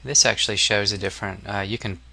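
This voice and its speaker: A man narrating a casual software tutorial as an instructor, explaining step by step in an informal, somewhat hesitant way. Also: lowish voice, fairly even intonation, fast talker, creaky.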